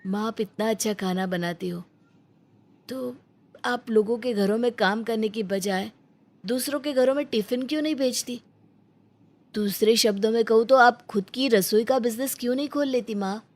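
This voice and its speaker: A woman's voice: on the high side, normal speed, darkish tone, pitched above the performer's own voice. A woman puts on a young adult son in a cartoon family tale. The delivery is earnest and persuasive, that of a devoted child talking to a parent. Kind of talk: dramatized cartoon storybook dialogue.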